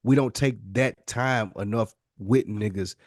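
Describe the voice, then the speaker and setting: A man's voice: on the low side, measured pace, dry recording, studio quality. A man co-hosting a podcast, talking in an earnest, conversational and reflective way. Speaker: podcast co-host. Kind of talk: casual, reflective discussion.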